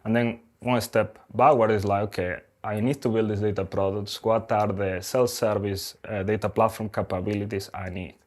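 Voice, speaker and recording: Guy from galicia, lowish voice, friendly, keeps saying right?. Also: flat delivery, normal speed, hardly any pauses, a little mellow. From Galicia, Spain. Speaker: lead developer. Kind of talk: conference interview chat.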